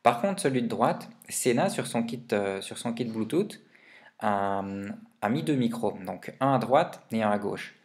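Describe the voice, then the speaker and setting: A man's voice: medium pitch, lively intonation, unhurried. A man talking informally and with plenty of slang as he explains gear in a casual vlog review. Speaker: vlogger reviewing motorbike and quad gear.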